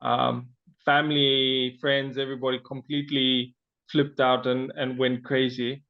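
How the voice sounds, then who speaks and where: A man's voice: mid-range voice, little pitch movement, unhurried, creaky, muffled recording. A man from Zimbabwe, an interview guest, telling his personal story with frequent fillers and restarts.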